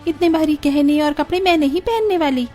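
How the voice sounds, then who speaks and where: Lady doing a spoiled young bride, real high voice, snappy n complaining, story drama, bit of music under. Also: a little fast, a little mellow, natural voice. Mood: irritated, impatient. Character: sharp-tongued, spoiled, defiant young rich bride. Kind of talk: dramatised Hindi family story acting.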